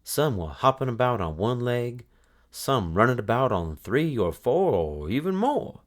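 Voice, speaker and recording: A man narrating a children's storybook aloud in an expressive, dramatic style. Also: medium pitch, measured pace.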